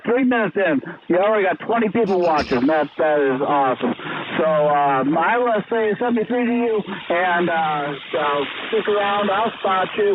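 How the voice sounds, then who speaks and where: A man calling in over amateur radio, chatting casually in a hesitant, helpful way. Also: high-pitched. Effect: radio transmission. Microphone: radio transceiver. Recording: narrow-band radio link, heavy static noise.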